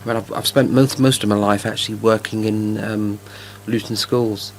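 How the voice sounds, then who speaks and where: Middle-aged male co-host from Norwich, gravelly voice, halting delivery, light background hum. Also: lowish voice, steady tone, low-quality recording. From Norwich, Norfolk, England. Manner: halting, repeats words when starting a thought. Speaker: radio co-host. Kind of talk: casual radio chat.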